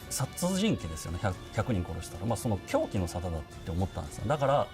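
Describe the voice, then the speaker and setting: A man's voice: deep voice, sing-song, racing. A man talking spontaneously in an interview as a game creator. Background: music.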